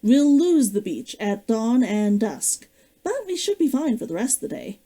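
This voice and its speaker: Woman reading young heroine, eager, lively and mellow. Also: a little high, moderate pace. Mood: thoughtful. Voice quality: her own lively voice.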